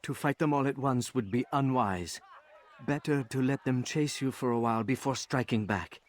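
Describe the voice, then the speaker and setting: A man's voice: mid-range voice, normal speed, lightly acted. A man playing a deferential young assassin who admires his master, humble and eager, in a video game. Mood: reverent, humble. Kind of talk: video game character acting.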